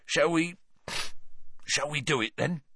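A man voicing a huge, soft-hearted male groundskeeper, tearful and choked up, speaking in a folksy way, raised above his own voice. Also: a little high, big pitch swings, normal speed, breathy edge, no echo, studio quality, pitched above the performer's own voice, heavily acted.

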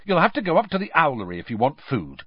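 A man's voice: on the low side, sing-song, quick pace, husky, no echo, highs cut off. A man voicing a moody, anxious teenage schoolboy in a storybook, curt and impatient. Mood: angry, frustrated. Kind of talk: audiobook character voice.

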